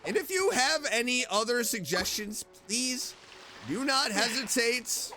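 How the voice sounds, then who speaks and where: Excitable high-pitched man streaming a video game. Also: a little slow. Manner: excitable, chatty, talks to self and game character. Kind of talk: casual gaming commentary. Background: game music.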